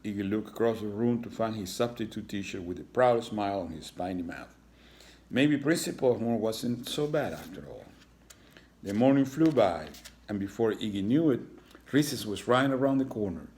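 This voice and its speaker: A man narrating a children's storybook aloud, reading with occasional halting stumbles. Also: on the low side, animated, darkish tone. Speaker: storybook reader.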